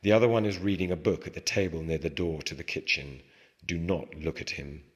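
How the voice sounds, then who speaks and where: A man's voice: low-pitched, steady tone, fairly quick, pitched below the performer's own voice. A man reading out an anonymous assassin's warning note from a novel, clipped and commanding, in a reverberant space. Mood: grave warning. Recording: reverberant hall with long echo.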